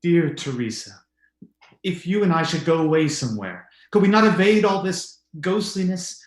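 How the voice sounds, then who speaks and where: A man's voice: on the high side, big pitch swings, normal speed. A man playing a tender, lovesick man in an old-time radio drama, earnest and romantic, recorded in an echoey room. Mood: tender, devoted. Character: tender, lovesick man devoted to a forbidden love.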